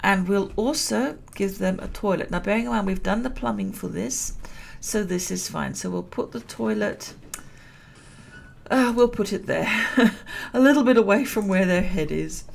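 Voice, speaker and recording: A woman commentating a building game at a relaxed pace, chatty and self-amused, and she is losing her voice. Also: medium pitch, normal speed, creaky, a little hiss or hum. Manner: chatty, self-amused, laughs at own jokes. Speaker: gameplay commentator. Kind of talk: relaxed let's-play commentary.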